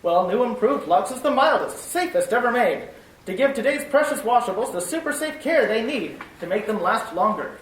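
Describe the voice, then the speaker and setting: A woman's voice: a little high, animated, moderate pace, distant mic, a little hiss or hum, lightly acted. A woman playing an upbeat 1940s radio announcer reading a soap commercial. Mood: salesy. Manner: upbeat, salesy. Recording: echoey room, voice off-mic.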